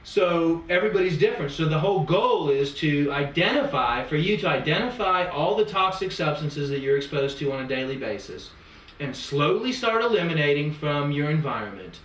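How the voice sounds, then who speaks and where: A man with a slightly high voice, lecturing a client on his health methods in a patient, explanatory way. He stacks up long lists and everyday comparisons. He is recorded from a distance in an echoey room with faint background noise. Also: animated, moderate pace, a bit bright. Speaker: natural health practitioner. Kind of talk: consultation with a client.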